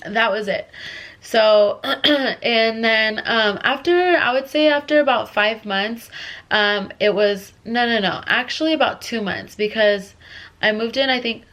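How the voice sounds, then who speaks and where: A woman's voice: a little high, unhurried, fairly bright tone. A young woman telling a casual storytime, congested from a cold, rambling with lots of fillers. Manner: rambling, filler-heavy, restless. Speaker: vlogger.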